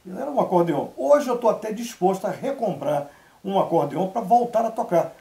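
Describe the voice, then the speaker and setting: Mellow-voiced man in an echoey studio. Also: high-pitched, creaky. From Brazil (Salvador, Bahia). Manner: storytelling, measured, quotes others in lively asides. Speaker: interview guest. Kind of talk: relaxed TV interview talk.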